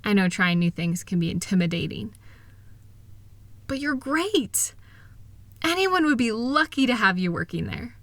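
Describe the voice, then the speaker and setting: Casting: female actor for a tender, reassuring wife in a comfort audio roleplay; soothing, slow delivery, very dry intimate sound. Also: medium pitch, very animated, no room sound. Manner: gentle, soothing, affectionate. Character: loving, reassuring wife comforting her spouse.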